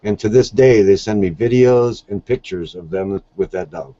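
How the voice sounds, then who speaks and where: A man's voice: lowish voice, normal speed, warm tone, muffled recording. A man talking casually as an interview guest, telling stories in a plain-spoken, earnest way. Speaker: podcast guest, shelter dog volunteer.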